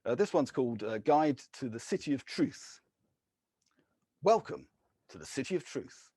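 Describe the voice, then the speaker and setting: A man, a poet, reading his own poems in a measured, deliberate way, with short casual introductions between them. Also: medium pitch, animated, mellow, slightly rough, dry recording, studio quality. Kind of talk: poetry reading with spoken introductions.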